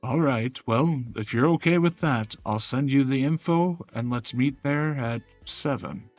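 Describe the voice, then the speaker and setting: Visual novel prosecutor, played by a man: a reserved, courteous male, measured pace, dry close sound. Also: mid-range voice, no room sound, lo-fi sound, soft background music, natural voice. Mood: polite, reserved.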